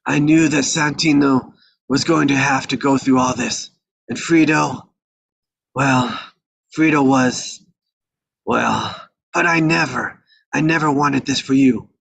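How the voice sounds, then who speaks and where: Aged mob patriarch, performed by a man: weary, forgetful, husky, creaky voice, rambling delivery. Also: medium pitch, a bit bright, full character voice, low-quality recording. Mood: weary, regretful. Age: older. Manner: rambling, halting. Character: weary, forgetful old mafia patriarch, male. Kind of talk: live table read of a crime film script.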